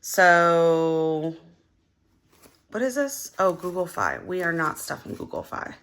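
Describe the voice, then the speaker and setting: A young woman with a slightly low yet bright, forward voice talks casually while counting money. Her tone is a little gravelly and hoarse from just having woken up. She rambles along with plenty of fillers and counts out loud. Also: animated, slow. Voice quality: gravelly early-morning voice. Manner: chatty, rambling, with many fillers and counting aloud. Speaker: budgeting vlogger.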